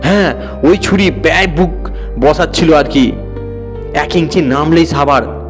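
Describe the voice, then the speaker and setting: A man voicing a sharp-tongued, nagging wife who scolds with scornful certainty in an audio story. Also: fairly high pitch, unhurried, big-room sound, over music, natural voice. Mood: scolding. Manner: scolding, snappish, certain of herself.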